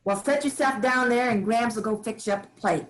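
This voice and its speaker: A woman's voice: a little high, a little fast, close to an everyday voice, low-quality recording. A woman reading the part of a stern rural grandmother, in a staged play reading. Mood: coaxing. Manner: folksy, firm, drawling country talk. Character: stern rural grandmother, family matriarch, firm.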